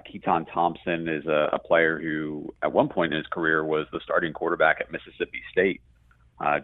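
A man's voice: very deep voice, no room sound. A man talking sports as a radio play-by-play guest, in a chatty, conversational analysis style. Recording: muffled, band-limited phone-like line.

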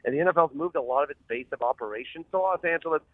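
A man's voice: a little high, very fast, dry recording. A man speaking as a columnist guest on a radio phone interview, chatty, rambling and emphatic. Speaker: sports columnist, show guest. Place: calling from a car. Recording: tinny, narrow phone line.

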